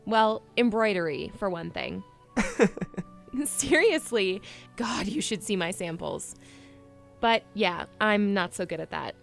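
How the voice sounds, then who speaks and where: A woman's voice: medium pitch, expressive, slow, gravelly, faint music behind. A woman playing a plucky, awkward young princess in an audio drama, talking in a casual, blunt way. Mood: candid.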